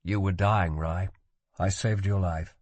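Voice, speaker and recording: A man voicing a guarded magician in an audiobook, a calm and protective brother who speaks in a measured, earnest way. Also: deep voice, dry recording, voice pushed down. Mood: earnest, steady.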